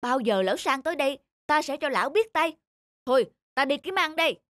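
An actor voicing a blustering, easily startled lion who stammers and exclaims in an animated fable. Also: sits high, brisk, a little hiss or hum. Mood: nervous bluster. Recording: loud music behind the voice.

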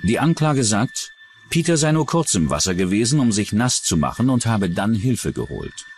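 A man's voice: fairly low pitch, very animated, moderate pace, a little hiss or hum. A man narrating a true-crime documentary from a script as its narrator.

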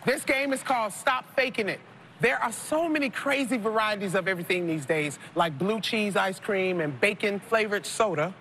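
A woman in her thirties hosting a TV game show, playful and quick with slang and jokes. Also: mid-range voice, slight background noise. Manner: playful, lively, Southern-tinged slang like y'all. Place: large studio set of a supermarket. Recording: TV broadcast over loud music. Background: loud game show music.

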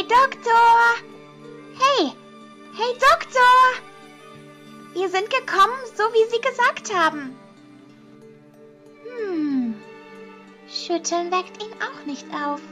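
A woman's voice: extremely high pitch, lively intonation, takes it slowly, pauses often, sharp tone, soft background music, exaggerated voice. A woman voicing a childlike, carefree, curious teenage girl in a German fan-dubbed anime audio drama. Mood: playful.

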